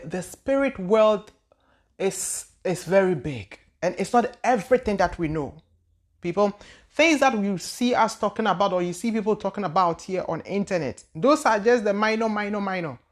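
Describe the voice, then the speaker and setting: A woman's voice: mid-range voice, lively intonation, moderate pace. Woman talking in loose, searching speech. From Ghana. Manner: loose, searching delivery with frequent repeated words and hesitations. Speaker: spiritual teacher and channel host. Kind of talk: casual spoken talk answering viewer questions.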